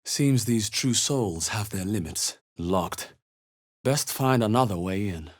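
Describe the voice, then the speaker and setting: An actor playing a wry, watchful male fantasy adventurer in a video game, with a husky, dry, understated delivery. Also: on the low side, animated, takes it slowly. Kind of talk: video game character voice lines, short reactions.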